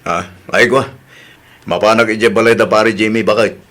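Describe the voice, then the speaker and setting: A man's voice: on the low side, fairly even intonation, moderate pace, fairly bright tone, close to an everyday voice. A man with an Ilocano accent acting a meddling, nagging village neighbour and husband in a radio drama. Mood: casual, reassuring.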